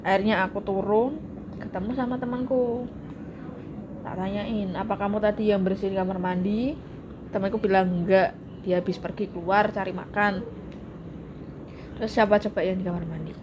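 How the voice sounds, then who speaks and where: A woman's voice: medium pitch, steady tone, moderate pace, pauses often. A woman, casually telling a ghost story and joking around. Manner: chatty, jokes around between spooky anecdotes. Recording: noisy room recording.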